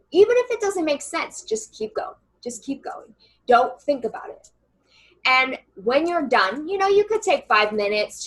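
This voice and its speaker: A woman channeler and coach with a loud voice, earnestly talking a listener through a writing meditation in a casual interview over a video call. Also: high voice, poor audio. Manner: earnest and reassuring, many fillers.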